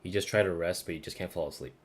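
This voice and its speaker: A man's voice: deep voice, very fast. A man who streams games, chatting casually and reading game text aloud over game music. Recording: music playing behind the voice.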